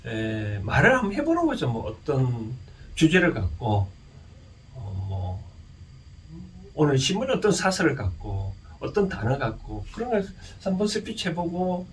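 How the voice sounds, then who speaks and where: A man with a Gyeongsang Korean accent giving an informal explanatory lecture, speaking haltingly and often putting rhetorical questions to his listeners. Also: mid-range voice, huge pitch swings. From Gyeongsang region, South Korea. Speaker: lecturer and counsellor. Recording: distant mic with faint background noise.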